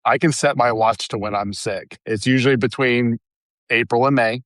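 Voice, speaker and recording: A man's voice: mid-range voice, fairly even intonation, moderate pace, fairly bright tone, no echo, clean studio sound. A man co-hosting a podcast in casual chat, easygoing and chatty. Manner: easygoing, chatty, many fillers like "like" and "dude". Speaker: podcast co-host.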